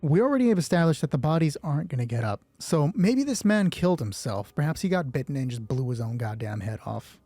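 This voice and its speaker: A man narrating a solo tabletop roleplay as its host, moving between hushed dramatic storytelling and chatty explanations of the dice. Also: a little high, big pitch swings, fast, hardly any pauses, no echo, soft background music.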